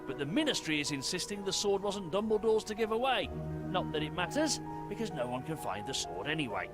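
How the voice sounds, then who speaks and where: High-voiced, halting wizard father. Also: big pitch swings. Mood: explanatory. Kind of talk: video game voice acting. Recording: game music behind.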